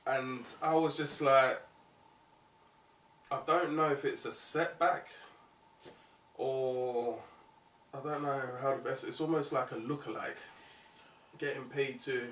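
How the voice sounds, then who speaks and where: A man's voice: mid-range voice, fairly even intonation, takes it slowly, long pauses, fairly bright tone. A man hosting a conversational music interview, speaking in a reflective, opinionated way. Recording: roomy, distant mic, faint noise.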